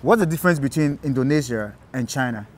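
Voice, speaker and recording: A man's voice: medium pitch, lively intonation, quick pace. A curious man conducting a casual street interview, asking questions and echoing the answers. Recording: clear outdoor traffic noise.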